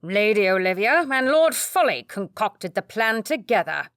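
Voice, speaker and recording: A woman's voice: medium pitch, very animated, moderate pace, fairly bright tone, no echo, studio quality. A woman voicing a domineering, scheming older nobleman who gives orders in a lazy drawl, in a storybook reading. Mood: commanding.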